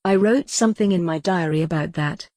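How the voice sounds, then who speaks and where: A synthetic female text-to-speech voice playing a calm, wry retro computer who thinks she's a cat, deadpan in an audio comedy drama. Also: lowish voice, fairly quick, no echo, clean studio sound, lightly acted.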